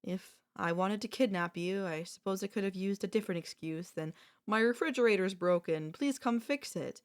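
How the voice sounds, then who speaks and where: A woman voicing an obsessive yandere sister-in-law in an ASMR audio roleplay, sweetly menacing, coaxing and chatty, calmly unapologetic. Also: mid-range voice, normal speed, close to an everyday voice. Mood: calmly confessional.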